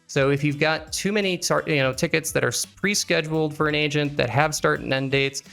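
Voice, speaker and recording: A man narrating a software tutorial in an explanatory, conversational way, as an instructor walking through settings. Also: fairly high pitch, brisk, vocal fry. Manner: explanatory, conversational, asks and answers own questions. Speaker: instructor, engineering executive. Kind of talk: software tutorial narration over screen recording. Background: background music.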